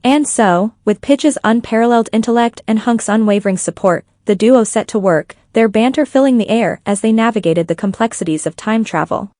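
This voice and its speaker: A woman's voice: a little high, fairly quick, few pauses, mellow, no room sound, studio quality. A woman reading scripted fan fiction as a story narrator.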